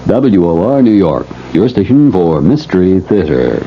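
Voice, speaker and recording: A man's voice: sits low, very animated, unhurried, slight background noise. A man reading 1970s radio station announcements on a muffled old broadcast.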